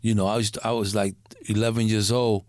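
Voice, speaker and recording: A middle-aged man from Puerto Rico, a guest in a casual interview, who talks in a halting way, repeating words and using street slang. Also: lowish voice, flat delivery, a little mellow, dry recording, studio quality.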